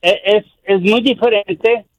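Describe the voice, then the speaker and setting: An older man calling in to a radio show, talking in a hesitant, halting way with many fillers. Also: high voice, steady tone. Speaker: caller. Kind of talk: phone-in radio call. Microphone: telephone. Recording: tinny dry phone line.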